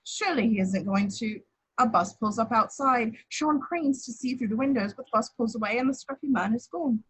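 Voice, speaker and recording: A woman's voice: a little high, brisk, breathy edge, rough recording. A woman reading stage directions as plain scripted narration at an informal table read. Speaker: action-description reader.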